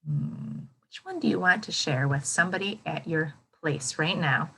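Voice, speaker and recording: A woman's voice: deep voice, moderate pace, sharp tone, slightly rough, low-quality recording. A woman with an American accent reading aloud to children as a teacher, exclaiming and putting questions to her young listeners. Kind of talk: children's read-aloud lesson with commentary.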